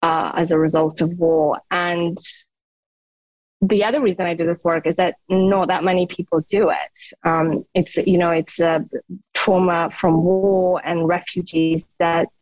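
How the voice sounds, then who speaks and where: A middle-aged woman from Afghanistan, a guest in an interview, speaking earnestly and thoughtfully with frequent pauses and restarts. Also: on the low side, fairly even intonation, darkish tone, dry recording, poor audio. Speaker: guest, founder of a psychological aid charity. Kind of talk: conversational interview.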